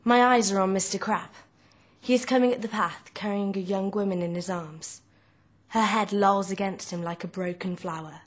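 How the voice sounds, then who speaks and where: A woman playing an anxious young hotel serving girl, reading a novel as first-person drama in a breathy voice. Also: mid-range voice, fairly even intonation, normal speed, natural voice. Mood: alarmed, urgent.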